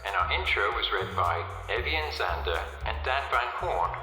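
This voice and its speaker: A man's voice: lowish voice, animated, normal speed, sharp tone. A man reading the episode credits in a plain, matter-of-fact way. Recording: roomy, reverberant sound with music behind.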